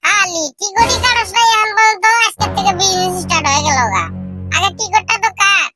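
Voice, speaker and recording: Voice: very high-pitched, fairly quick, loud music behind the voice. A performer voicing a sulky, mocking unemployed young friend in a comic cartoon, scolding in a rural Bangladeshi dialect. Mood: scolding, doubtful.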